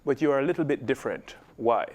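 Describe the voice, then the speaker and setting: A man's voice: mid-range voice, quick pace. A man hosting a sports interview show, asking questions in a genial way and using local sayings. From Jamaica.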